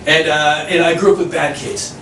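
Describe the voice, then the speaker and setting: American stand-up guy, pretty high voice, far off mic in an echoey club, animated self-mocking story. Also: moderate pace. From United States. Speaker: stand-up comedian. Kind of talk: stand-up comedy set. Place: comedy club stage. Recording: echoey room, distant mic, audience noise.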